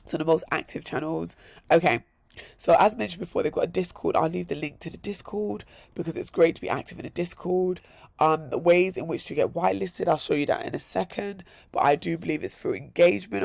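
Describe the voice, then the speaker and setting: Crypto vlogger, male, hoarse and gravelly, upbeat, repeats himself in threes. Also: a little high, dry recording, studio quality. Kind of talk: casual screen-share walkthrough.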